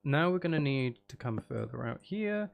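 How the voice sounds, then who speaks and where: A man's voice: mid-range voice, expressive, normal speed, vocal fry, lo-fi sound. A man chatting casually on a gaming livestream, thinking aloud as he builds and bantering playfully with viewers, with some mild swearing. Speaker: livestream host.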